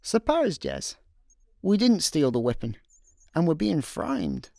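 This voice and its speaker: A man's voice: a little high, wildly swooping pitch, slow pace, creaky, a little mellow, dry recording, clean studio sound, heavily acted. A man playing an easygoing, slightly more sensible slacker in a comedy sci-fi radio play, casual and hesitant. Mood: pondering.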